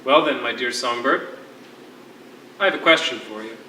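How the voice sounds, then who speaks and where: A man acts out a jailed writer with a formal, wordy wit. His voice is very forceful and theatrical, carrying dry sarcasm and weariness. It sounds distant and echoey, as from a theatre stage, with faint noise. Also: mid-range voice, moderate pace. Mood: courtly, probing. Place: on a stage in a hall.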